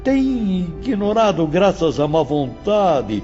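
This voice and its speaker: A man playing a solemn, patient spiritual teacher who lectures his pupils in a measured, grand manner for an audio drama. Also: sits high, very animated, mellow, heavily acted. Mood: grave, exhorting. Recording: dull lo-fi audio, faint music behind.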